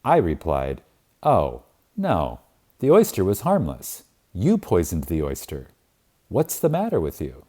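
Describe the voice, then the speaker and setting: A man reading a spiritual self-help book aloud as an audiobook, in a reverent, emphatic manner with long deliberate pauses. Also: deep voice, huge pitch swings, mellow. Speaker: audiobook reader.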